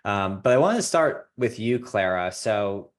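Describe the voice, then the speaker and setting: A male podcast host with a fairly low voice. He runs a casual interview in a halting style, filling his sentences with small hesitations and laughing easily with his guests. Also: lively intonation. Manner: halting, many filler words, laughs easily.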